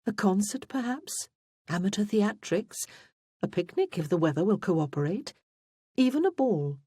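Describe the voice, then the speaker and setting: A narrator voicing a lively, playful young lady of genteel manner in a period romance audiobook. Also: medium pitch, normal speed, breathy edge, no room sound, studio quality, pitched above the performer's own voice. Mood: eager, playful.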